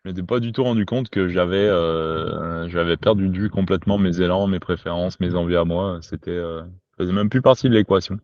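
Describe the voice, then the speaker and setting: Man from Quebec, low-pitched, informal slangy speech, podcast guest, dull dry home recording. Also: a little fast, dry recording. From Quebec, Canada. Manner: informal, slangy, swears casually. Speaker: podcast guest, survival instructor. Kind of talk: spontaneous casual conversation.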